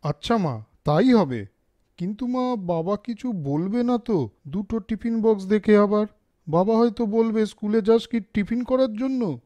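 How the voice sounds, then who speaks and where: Man voicing an earnest, high-pitched schoolboy. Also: animated, unhurried, warm tone. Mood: hesitant. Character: earnest, kind schoolboy, caring toward classmates. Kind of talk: Bengali children's moral cartoon voice acting.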